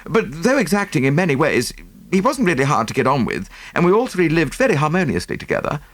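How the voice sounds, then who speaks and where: Man acting courteous, anxious English gentleman, lively intonation. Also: fairly high pitch, quick pace, no room sound, pitched above the performer's own voice, exaggerated voice. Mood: explaining. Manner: courteous, hesitant, explanatory. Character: well-bred English gentleman, anxious, self-deprecating, recounting family troubles. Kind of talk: audiobook character acting.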